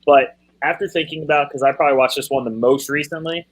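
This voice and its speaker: A man's voice: a little high, steady tone, fast. A man co-hosting a casual podcast, ranting in an exasperated way. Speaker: podcast co-host. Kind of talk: casual chat.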